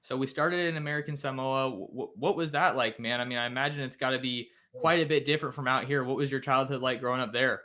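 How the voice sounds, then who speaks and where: Bright-voiced male podcast host. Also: medium pitch, steady tone, fast, few pauses. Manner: friendly, restarts often. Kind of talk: casual interview.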